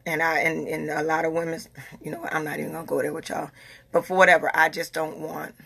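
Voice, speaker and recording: Woman in her 40s, raspy bright voice, just chatting to her followers, earnest, keeps repeating herself. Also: deep voice, little pitch movement, quick pace. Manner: earnest, repeats herself, talks in a Southern US dialect. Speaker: vlogger. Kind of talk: casual talk to followers.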